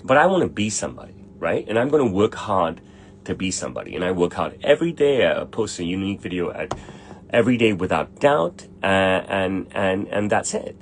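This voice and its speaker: A brash middle-aged man talks bluntly in a low voice and swears without a second thought. He answers viewer questions in a loose, casual way, and faint room noise hums under him. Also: sing-song, brisk. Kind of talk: casual livestream Q&A.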